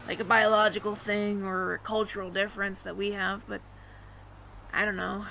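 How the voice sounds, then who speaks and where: A woman's voice: mid-range voice, gravelly, bright, forceful tone, slight background noise. A woman playing a flustered, smitten alien roommate who stammers nervously and earnestly in an intimate audio roleplay. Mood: shy, smitten. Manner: nervous stammering, halting, repeats words.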